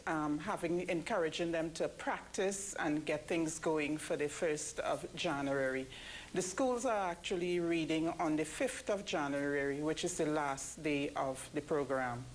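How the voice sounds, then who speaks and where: Woman, lowish pitch, explanatory interview guest, clear background noise. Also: rough recording. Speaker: interview guest, church committee member.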